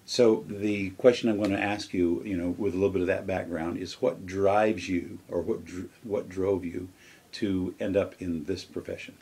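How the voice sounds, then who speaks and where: A man's voice: low-pitched, fairly even intonation, normal speed, warm tone, husky. A man interviewing a teacher, asking questions in an easygoing, casual way with fillers.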